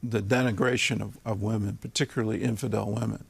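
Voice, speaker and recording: A man hosting an interview podcast, asking questions in a hesitant, halting way. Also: lowish voice, fairly even intonation, gravelly, husky. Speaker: host.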